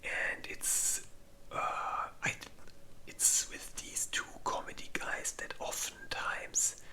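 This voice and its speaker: An ASMR host speaking in a breathy, unvoiced whisper, rambling casually and informally with halting pauses. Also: very high voice, bright, forceful tone.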